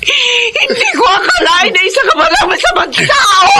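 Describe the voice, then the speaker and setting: A woman's voice: big pitch swings, moderate pace. A woman acting a distraught, accusing Filipino wife and grieving mother in a radio drama. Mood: hurt, accusing. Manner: shrill, crying out. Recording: radio drama with loud background noise.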